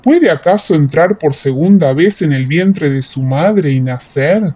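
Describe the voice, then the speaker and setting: A woman playing a questioning male Judean elder with a measured, puzzled delivery. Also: low-pitched, animated, warm tone, pitched below the performer's own voice. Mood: earnest, puzzled.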